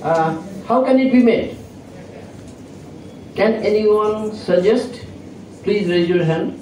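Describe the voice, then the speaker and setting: Soft-spoken male professor, Indian English accent, raised pitch, lecturing haltingly; recorded from afar in a reverberant hall. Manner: halting, with frequent fillers and self-corrections. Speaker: chemistry professor. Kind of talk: classroom lecture. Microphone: fixed microphone at a distance. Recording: echoey hall, distant mic, noisy.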